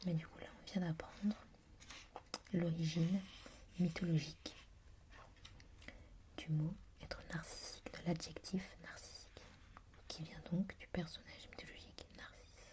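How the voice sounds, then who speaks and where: A woman whispering as she reads aloud from a language reference book, softly musing and adding her own comments. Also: fairly low pitch, level tone. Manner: whispering throughout; soft and musing, pausing to comment. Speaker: reader.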